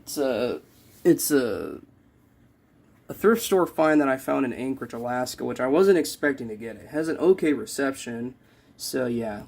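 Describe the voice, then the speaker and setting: A man's voice: mid-range voice, animated, moderate pace, creaky, a little mellow. A young man giving a casual show-and-tell of his collection, rambling and correcting himself as he goes. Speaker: radio collector showing a collection. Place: small cluttered room indoors.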